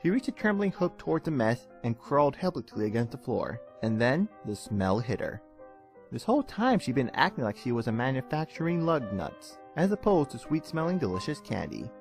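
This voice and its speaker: A man narrates a dramatic story with a theatrical, expressive delivery. His pitch moves in lively swings around a medium register, with soft music underneath. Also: fairly quick.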